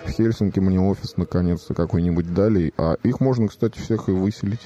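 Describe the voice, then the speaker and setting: A man's voice: low-pitched, a little fast, mellow, highs cut off. A man in a comic, rambling discussion, telling anecdotes in an insistent, mock-serious way with hesitations and repetitions.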